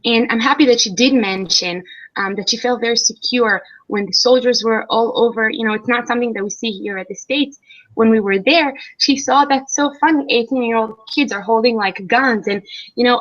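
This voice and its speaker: A woman's voice: on the high side, brisk, a bit bright. A young woman from Israel answering interview questions in a chatty way, with frequent ums. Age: young adult. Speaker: interview guest. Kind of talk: casual video-call interview. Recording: dull lo-fi sound.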